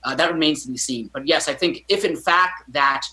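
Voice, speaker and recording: A man's voice: fairly high pitch, brisk, bright, forceful tone. A man in his thirties, a physician, answering news interview questions over a video call from a home study, hedging with many ums and restarts. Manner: hesitant, many fillers and restarts. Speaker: physician guest commentator.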